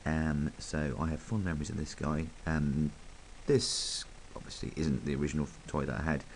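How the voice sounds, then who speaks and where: Croaky low-voiced man reviewing a toy. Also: little pitch movement, moderate pace, slight background noise, poor audio. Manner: chatty, rambling, full of ums. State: croaky from hay fever. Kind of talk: casual hands-on review.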